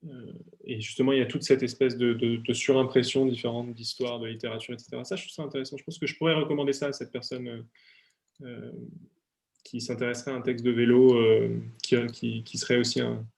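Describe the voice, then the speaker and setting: A man's voice: medium pitch, level tone, brisk, creaky, darkish tone. Young male author, video-call audio, hesitant, lots of fillers. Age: young adult. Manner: hesitant, many fillers and restarts. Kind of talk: talk with audience questions, then reading aloud. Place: at home for an online talk.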